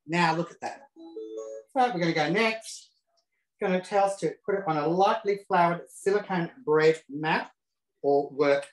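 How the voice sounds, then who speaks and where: Camp chatty man in a roomy kitchen. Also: a little high. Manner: camp, chatty, cheeky asides. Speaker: cooking demo presenter. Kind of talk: casual live cooking demonstration. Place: home kitchen. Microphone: self-set camera.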